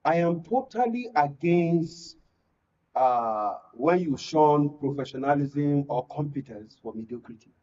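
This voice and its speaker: Nigerian male guest commentator, opinionated, dark mellow tone, dull distant studio sound. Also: a little high, expressive, a little slow. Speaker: guest panelist. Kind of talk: political panel discussion.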